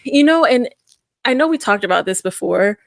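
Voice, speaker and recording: A woman's voice: a little high, normal speed, gravelly, no echo. Chatty woman, dry close sound. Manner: rambling, animated, acts out voices in quotes. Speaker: podcast co-host. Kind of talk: casual chat.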